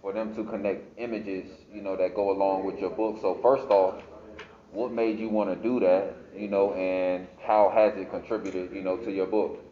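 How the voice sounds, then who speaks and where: A man's voice: low-pitched, little pitch movement, moderate pace. An American man interviewing someone in a casual, informal way, peppering his questions with little filler phrases, in an indoor space. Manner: informal, frequent "you know". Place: indoor art gallery. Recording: echoey room, dull muffled sound.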